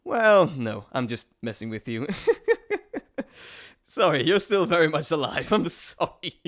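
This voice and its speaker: A man's voice: on the high side, big pitch swings, slow, fairly bright tone, exaggerated voice. A man playing a playful, cheeky messenger god in a dramatic roleplay monologue, chatty and theatrical, talking straight to the listener. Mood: gleeful, apologetic. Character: playful, teasing male Greek messenger god, cheeky trickster.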